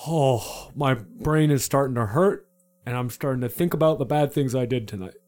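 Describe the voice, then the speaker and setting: A man's voice: mid-range voice, moderate pace, darkish tone, natural voice. A man playing a drunken, dramatic knight whose grand, pompous phrasing keeps slipping into casual slang.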